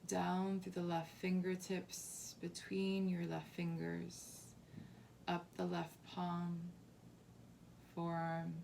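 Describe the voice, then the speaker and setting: Slow, creaky-voiced woman guiding meditation. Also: fairly low pitch, slight background noise. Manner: soft, unhurried, calming instruction with long pauses. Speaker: meditation teacher. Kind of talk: guided body scan meditation.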